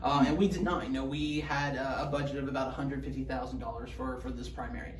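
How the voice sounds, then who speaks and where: A man's voice: mid-range voice, flat delivery, fast talker, far from the mic. A young man from Houston, a campaign manager, answering interview questions in an easygoing way with many fillers. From Houston, Texas. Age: young adult. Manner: easygoing, many fillers, chuckles when talking about his school. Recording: roomy sound, away from the mic, faint hum.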